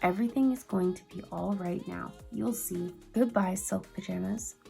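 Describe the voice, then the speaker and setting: A woman voicing a fussy, easily flustered male mouse who gets indignant and shouts when upset. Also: medium pitch, normal speed, creaky, soft background music. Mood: gentle.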